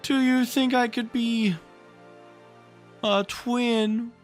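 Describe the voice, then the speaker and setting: A man voicing a dejected, heavy-hearted little boy in a storybook, with slow, plaintive, drawn-out speech. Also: very high voice, steady tone, faint music behind, voice pushed up, exaggerated voice. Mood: glum, pleading.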